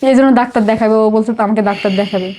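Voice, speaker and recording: Bangladeshi woman, middle-aged podcast guest. Higher-pitched, rapid, emotional confessional delivery that circles back on itself. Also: steady tone, warm tone. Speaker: podcast guest telling her life story. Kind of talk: personal confessional interview.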